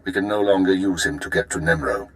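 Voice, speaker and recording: An actor playing a calm, calculating imperial intelligence handler in a video game, briefing formally and in measured phrases. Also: male voice, sits low, lightly acted. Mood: calm, grave. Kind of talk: dramatic video game acting.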